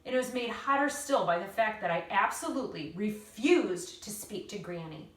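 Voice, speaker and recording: A woman's voice: mid-range voice, animated, normal speed, lightly acted. A woman reading a children's novel aloud as an earnest, indignant young girl, with emphatic, solemn storytelling. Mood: earnest, wistful. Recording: roomy echo, distant mic.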